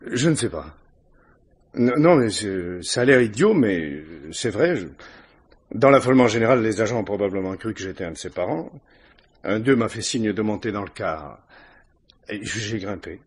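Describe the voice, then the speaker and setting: Nervous male witness for a radio mystery: low husky voice, polite, hesitant, defensive. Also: animated, normal speed. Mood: flustered, defensive. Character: uneasy, pleading male witness, a family man. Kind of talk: French radio crime drama acting.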